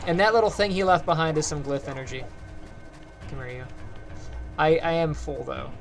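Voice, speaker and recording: Man, high-ish light voice, gravelly fry, rambling stream chatter, faint music behind. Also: moderate pace. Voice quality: higher-pitched, light voice. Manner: rambling, chatty, talks to chat while playing. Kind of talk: casual gameplay commentary.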